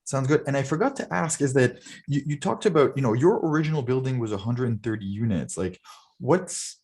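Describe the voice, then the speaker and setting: A man's voice: medium pitch, lively intonation, brisk, a little mellow. A man hosting a podcast, asking casual, conversational interview questions. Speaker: podcast host.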